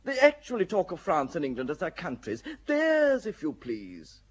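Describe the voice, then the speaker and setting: A cynical English nobleman, husky and languid, who drifts lazily through his lines. His voice rises and falls in very wide swings. The sound is dull and lo-fi, like an old radio play. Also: high voice, huge pitch swings, exaggerated voice. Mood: wry. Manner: languid, wry and unhurried. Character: cool, cynical English nobleman, middle-aged. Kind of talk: classic radio drama acting.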